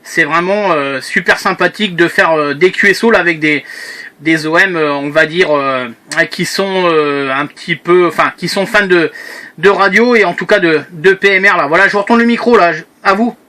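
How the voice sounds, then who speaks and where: A man's voice: high voice, moderate pace, sharp tone. A man chatting casually as an amateur radio operator, friendly and inclined to repeat himself. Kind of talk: casual chat over radio.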